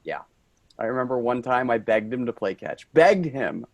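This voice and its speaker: A man's voice: medium pitch, expressive, normal speed, no echo, lo-fi sound, lightly acted. A man playing an earnest, rambling office worker, tipsy and brooding, in a staged play reading. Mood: wistful, tipsy.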